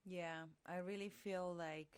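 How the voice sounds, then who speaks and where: A woman co-hosting a casual podcast chat in English, her first language being Spanish, earnest and pausing to search for words. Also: lowish voice, fairly even intonation, clean tone, no room sound. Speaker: podcast co-host.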